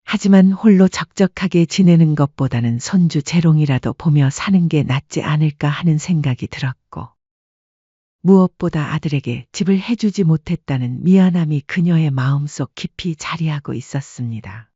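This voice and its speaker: 허스키하고 어두운 음색의 여성 내레이터. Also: female voice, deep voice, normal speed, dark tone, husky, no echo, lo-fi sound. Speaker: narrator. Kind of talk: scripted story narration.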